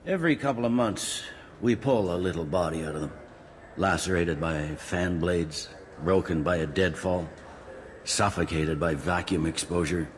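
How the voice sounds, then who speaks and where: An actor playing a matter-of-fact, world-weary police officer in a video game, briefing in a dry, informal, clipped way. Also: male voice, deep voice, a little hiss or hum. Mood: grim, weary.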